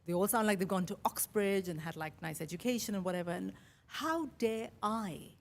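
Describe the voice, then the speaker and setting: A middle-aged woman with an Indian accent, a mix of Mumbai, Kerala and London, telling a personal story in a chatty, animated way as a podcast host. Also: mid-range voice, moderate pace. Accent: Indian English, Mumbai and Kerala with London influence. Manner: chatty, animated, quotes her own thoughts.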